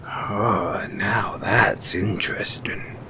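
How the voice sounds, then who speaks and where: Male actor as a shrewd post-apocalyptic merchant, deep-toned, theatrical, mysterious, audio drama, faint rumbling noise behind. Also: fairly even intonation. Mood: intrigued, probing. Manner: slow, insinuating, theatrical, with drawn-out pauses. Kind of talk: audio roleplay character acting addressed to the listener.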